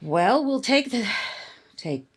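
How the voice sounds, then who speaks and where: A woman's voice: a little high, very animated, takes it slowly, breathy edge, full character voice. An actress playing a jittery, doubtful woman who is an accomplice to murder in an old-time radio thriller, hesitant and anxious. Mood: uneasy. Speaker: actor.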